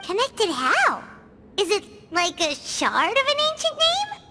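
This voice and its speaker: A woman's voice: very high voice, huge pitch swings, a little slow, bright, forceful tone, a little hiss or hum, heavily acted. An actress playing a small, cheerful, curious childlike fairy girl in a video game, chirpy and exclamatory. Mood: curious, upbeat.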